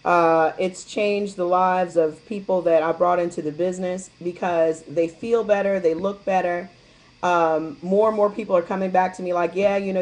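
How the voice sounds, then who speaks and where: A woman's voice: on the low side, darkish tone, poor audio. A woman speaking candidly in a casual call-in chat, telling stories and acting out what others said. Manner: candid, chatty storyteller who acts out quotes. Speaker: guest distributor sharing her experience.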